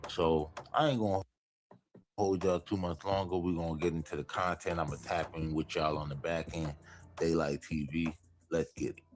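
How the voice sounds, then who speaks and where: A man's voice: sits low, fairly even intonation, moderate pace, over music. An American man introducing clips as a channel host in casual, slangy, conversational talk. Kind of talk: casual scripted-free intro to a reaction video.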